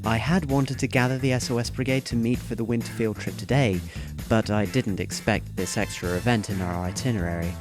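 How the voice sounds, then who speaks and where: A man playing a composed, courteous teenage schoolboy in an audio drama, speaking in a measured way. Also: lowish voice, over music, close to an everyday voice.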